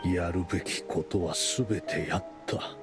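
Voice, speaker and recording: A man voicing a solemn, proud and resigned older mentor with measured, formal speech in a dramatic anime game dub. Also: deep voice, fairly even intonation, loud music behind the voice. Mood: solemn resignation.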